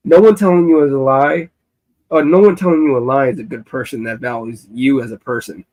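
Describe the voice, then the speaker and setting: A man guesting on a live-streamed video chat, telling animated stories and laughing often. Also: mid-range voice, fairly quick, low-quality recording. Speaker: musician guest.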